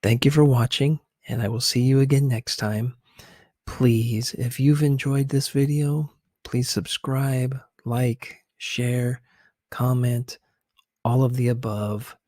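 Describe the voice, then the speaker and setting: A man gives casual ASMR-style commentary in a close, dry recording. His pace is very slow and his manner is gentle and a little hesitant, explaining things bit by bit. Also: medium pitch, steady tone, no room sound. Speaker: ASMR video host.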